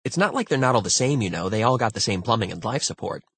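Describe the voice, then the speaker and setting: A man's voice: lowish voice, lively intonation, quick pace, fairly bright tone, husky, voice pushed up, full character voice. A man voicing a blunt, slangy, agitated woman crew member in a science fiction audiobook. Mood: defensive.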